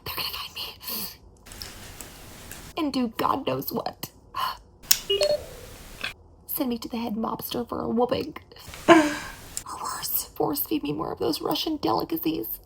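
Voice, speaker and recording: A woman playing a ditsy, panicked abductee pleading urgently on the phone, breathy and melodramatic, in an ASMR comedy role play. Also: high voice, flat delivery, measured pace, long pauses, pitched above the performer's own voice, heavily acted, poor audio. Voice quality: breathy, half-whispered.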